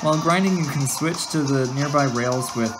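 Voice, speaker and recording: A woman's voice: sits low, moderate pace, background noise. A woman giving casual let's-play commentary as host, giggly and easily distracted with frequent exclamations. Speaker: gameplay host. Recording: game music behind the voice. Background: video game music.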